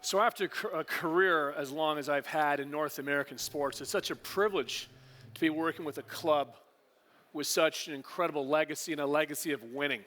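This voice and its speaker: A man speaking to a conference audience in a fairly high voice, which carries long reverb from the large hall. He stays relaxed, drops in joking asides and fills pauses with "uh". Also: faint music behind. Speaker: conference speaker, partnerships executive. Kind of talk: business presentation to a live audience.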